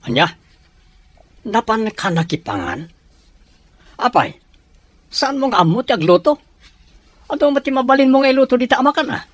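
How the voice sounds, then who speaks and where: A man playing a stern, scolding father in an Ilocano audio drama, forceful and reproachful. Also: extremely high pitch, big pitch swings, moderate pace, long pauses, dry recording, full character voice. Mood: angry, scolding.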